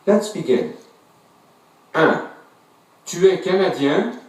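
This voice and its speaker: A man narrating a language textbook listening exercise in a scripted, deliberate way. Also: on the high side. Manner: slow, deliberate, lively intonation. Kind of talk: scripted language-lesson instructions. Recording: echoey, distant textbook audio.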